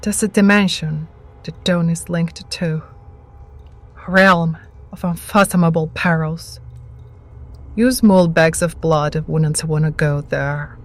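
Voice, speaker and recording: A woman's voice: low voice, steady tone. A woman playing an ancient, starved vampire witch in an audio drama, cruel and mocking, with theatrical menace. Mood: ominous warning. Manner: slow, taunting, theatrical menace.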